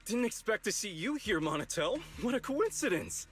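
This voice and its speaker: An affable young investigator in a video game. His voice sits slightly high, bright and very lively in melody, with an easygoing, composed manner. Faint music runs behind. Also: moderate pace. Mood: friendly, composed. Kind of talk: English video game voice acting.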